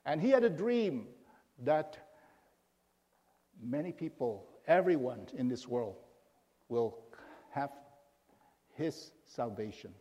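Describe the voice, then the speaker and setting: A middle-aged man from Indonesia preaching to a congregation as a guest pastor, in a relaxed way with many pauses and fillers. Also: mid-range voice, in a large hall. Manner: informal, halting with many fillers. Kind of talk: sermon. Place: church sanctuary.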